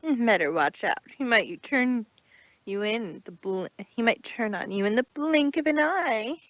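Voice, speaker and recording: A woman's voice: on the high side, animated, measured pace, no room sound. A woman voicing a haughty, sneering pony in an amateur cartoon fan reading, with theatrical, taunting delivery. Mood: smug taunting. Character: haughty, sneering young filly bully and boastful showmare. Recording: dull, lo-fi home recording.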